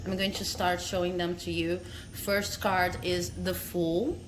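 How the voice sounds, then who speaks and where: Brazilian-accented woman, tarot vlogger, friendly and chatty, slightly low voice, phone-recorded with light background noise. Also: little pitch movement, measured pace. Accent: Brazilian Portuguese. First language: Portuguese. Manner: friendly, tags sentences with "okay?", laughs between phrases. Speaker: tarot reader. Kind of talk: casual talk to camera.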